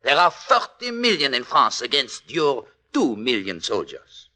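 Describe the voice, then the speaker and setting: An actor playing a defiant French parish priest facing an enemy court, declaiming emphatically in 1940s radio drama style. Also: male voice, medium pitch, wildly swooping pitch, unhurried, bright, forceful tone, full character voice. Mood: solemn defiance.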